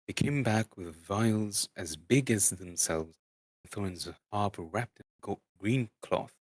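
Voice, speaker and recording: A man narrating a book dramatically as an amateur, halting and stumbling over words now and then. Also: fairly low pitch, no room sound. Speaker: streamer reading aloud.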